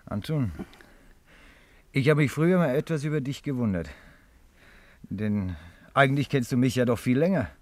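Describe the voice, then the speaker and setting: An actor playing a reserved, sceptical man in a 1950s radio play, speaking in a measured, reluctant way. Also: mid-range voice, no echo, close to an everyday voice. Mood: reserved, doubtful.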